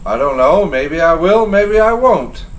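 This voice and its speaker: A man voicing a boastful, excitable young colonial sergeant who blusters and panics by turns, pitched well above his own voice. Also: a little slow, slight background noise. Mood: taunting. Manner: frantic, blustering, brash.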